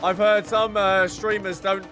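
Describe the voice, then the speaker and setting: A man's voice: sits high, level tone, a little slow. A man doing live game commentary as a streamer, playful and exclamatory, often repeating little catchphrases. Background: loud game music.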